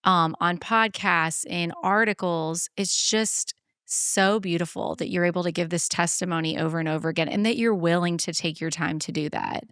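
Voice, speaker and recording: A woman's voice: fairly low pitch, steady tone, moderate pace, a bit bright, smooth, no echo, clean studio sound. A woman hosting a podcast, chatting casually and telling stories in a chatty way, often quoting others. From born in Beaumont, Texas, lives in Charleston. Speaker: podcast host. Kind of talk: casual chat, storytelling.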